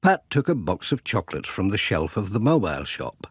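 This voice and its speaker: A man narrating a children's storybook in a measured, expressive storytelling manner. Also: deep voice, husky, no room sound, clean studio sound. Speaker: audiobook narrator.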